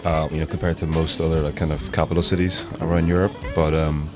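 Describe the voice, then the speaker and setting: Northern Irish man, thirties, very low voice, loose chatty guest over loud background music. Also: quick pace. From Belfast, Northern Ireland. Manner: relaxed, rambling, many fillers. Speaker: guest DJ and producer. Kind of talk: casual interview chat. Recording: music playing underneath.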